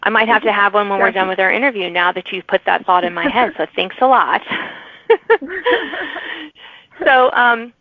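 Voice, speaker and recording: A woman's voice: mid-range voice, expressive, moderate pace, long pauses, no room sound. A woman hosting a podcast interview in an enthusiastic, encouraging, conversational manner. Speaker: podcast host. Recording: narrow phone-like audio.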